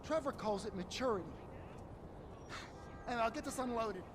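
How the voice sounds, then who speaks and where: A man's voice: extremely high pitch, normal speed, noisy background. An actor playing a jittery, anxious drug-crew middleman in a video game cutscene, rambling and hesitant as he backtracks on himself.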